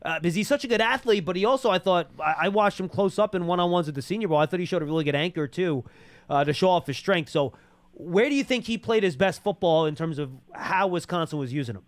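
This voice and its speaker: Male sports show interviewer. High voice, conversational football talk, dry close studio sound. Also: fast talker, no room sound. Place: small podcast studio. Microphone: boom-arm studio microphone, close.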